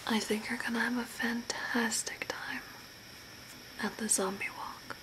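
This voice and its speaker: A young woman playing an eerie, soothing zombie-makeover artist in a soft, breathy ASMR whisper, slow and deliberate. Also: fairly high pitch, level tone, close to an everyday voice. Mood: hushed, eerie. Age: young adult. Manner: slow, hushed, deliberate, spooky. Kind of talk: whispered ASMR role play. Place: small room at home by a window. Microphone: close camera at arm's length. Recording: noisy home recording with ambience.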